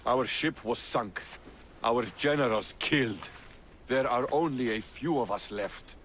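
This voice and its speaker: An actor playing a proud, stern, battle-worn Spartan officer in a video game, speaking in a solemn, formal manner. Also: male voice, mid-range voice, a little slow, fairly bright tone, a little hiss or hum, close to an everyday voice. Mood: proud, grave. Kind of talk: video game cutscene acting.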